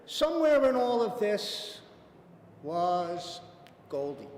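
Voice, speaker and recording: Man, high voice, amused storytelling, lingers on punchlines, reverberant hall. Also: big pitch swings, slow, darkish tone, slight background noise. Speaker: storyteller at a theater event. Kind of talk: amused personal anecdote told aloud. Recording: echoey hall, faint ambience.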